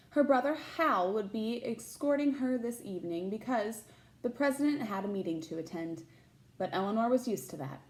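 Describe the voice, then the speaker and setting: A woman reads a storybook aloud as a teacher would, with very animated pitch. She speaks to young listeners and invites them to wonder along. The room around her voice is noticeably echoey. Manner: addresses young listeners with questions. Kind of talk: expressive read-aloud of a children's picture book with chatty asides.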